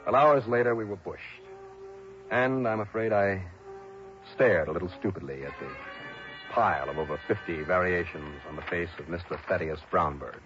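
An actor playing a dogged, wry insurance investigator in a mid-century radio detective drama, clipped and world-weary. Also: male voice, sits low, normal speed, fairly bright tone, soft background music. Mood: wry, narrating. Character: dogged, wry male insurance investigator narrating his case. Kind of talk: 1950s-60s radio detective drama acting. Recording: muffled old radio broadcast recording.

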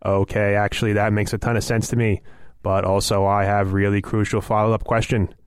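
A man reading the part of a woman cleaning columnist, eager and squeamish, in a deadpan, joking comic role play on a dry podcast mic. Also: lowish voice, a little fast, smooth, no echo, natural voice.

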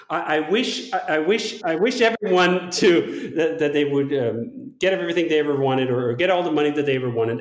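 A man's voice: fairly high pitch, echoey room. A man from Denmark speaks reflectively and emphatically, thinking aloud in a long-form conversation.

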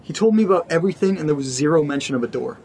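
A man's voice: on the high side, lively intonation, very fast, crowd noise. A man playing a curious, defensive young housemate in a naturalistic horror audio drama, speaking in a conversational, wry way. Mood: intrigued. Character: curious, defensive young male housemate investigating a creepy cellar. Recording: clear background noise, room ambience.

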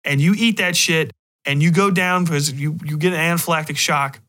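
A man's voice: fairly high pitch, fairly even intonation, fairly quick, a bit bright. A man hosting a podcast, talking casually and emphatically with blunt opinions and frequent swearing. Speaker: podcast host.

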